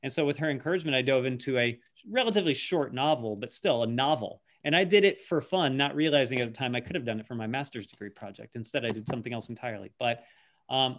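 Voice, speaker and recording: Fast-talking male panel moderator. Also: medium pitch. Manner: chatty, asks questions. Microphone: video call.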